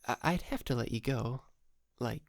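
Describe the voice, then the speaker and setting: A man's voice: medium pitch, normal speed, vocal fry, close to an everyday voice. A man playing a shy, polite, clingy yandere kidnapper in an intimate ASMR roleplay, stammering and apologetic, close to the mic. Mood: flustered. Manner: hesitant, stammering, nervous giggles, apologetic. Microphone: close microphone, dry.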